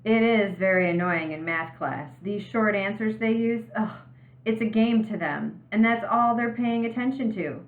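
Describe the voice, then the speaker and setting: A woman's voice: mid-range voice, normal speed, roomy sound, narrow, tinny audio, pitched above the performer's own voice, close to an everyday voice. A woman voicing an exasperated female schoolteacher in a storybook, complaining with emphasis. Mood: annoyed.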